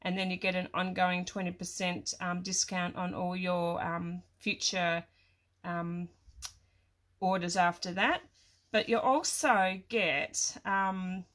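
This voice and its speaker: Croaky middle-aged Australian woman chatting. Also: fairly low pitch, steady tone, takes it slowly, a bit bright. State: croaky, recovering from a cold. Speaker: craft demonstrator and livestream host. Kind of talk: casual livestream chat. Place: small home craft room.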